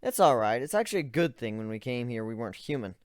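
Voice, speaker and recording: Man playing a laid back wry griffin guy in a storybook reading, jokey offhand talk, mid pitch, dry sound. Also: sing-song, quick pace, dry recording, lightly acted. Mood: casual. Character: easygoing young male griffin, wry and nervous.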